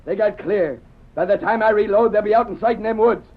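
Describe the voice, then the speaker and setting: An actor playing a terse, trigger-happy deputy with a rural Western American accent in an old-time radio drama. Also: male voice, high-pitched, a little fast. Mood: excited, frustrated. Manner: folksy, terse. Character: trigger-happy frontier deputy, gruff sidekick. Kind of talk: 1930s-40s radio drama acting. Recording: dull, slightly noisy old radio recording.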